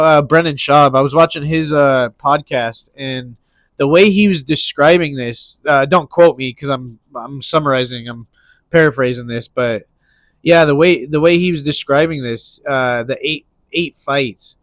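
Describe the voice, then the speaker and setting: A man's voice: on the high side, unhurried, fairly bright tone, dry recording, low-quality recording. A man with a stuffy nose hosting casual solo sports talk, rambling with lots of restarts and fillers. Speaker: MMA commentary channel host.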